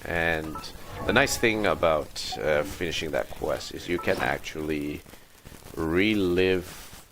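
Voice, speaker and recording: A man's voice: rumbling low, slow, fairly bright tone. A man livestreaming a game, muttering commentary to himself in short fragments as he plays. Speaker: game streamer. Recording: noisy livestream mic. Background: background noise.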